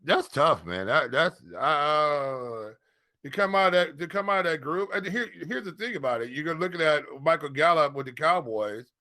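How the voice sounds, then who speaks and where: A man's voice: on the high side, animated, sharp tone, dry recording, studio quality. An American man chatting casually as a fantasy football analyst, often repeating words as he works through his thoughts. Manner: halting, repeats words and phrases. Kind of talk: casual sports chat.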